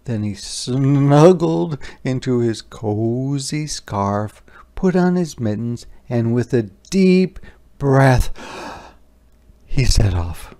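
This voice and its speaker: A read-aloud teacher narrating a picture book for young children. The voice is gravelly with fry and unhurried, expressive, stopping for simple explanations and questions. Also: mid-range voice. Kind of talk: interactive children's story narration with casual asides.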